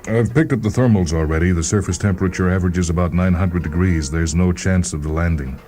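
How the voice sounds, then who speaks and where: A man voicing a stocky, genetically adapted woman scientist in a radio story, brisk, curt and scornful. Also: sits low, mellow, natural voice.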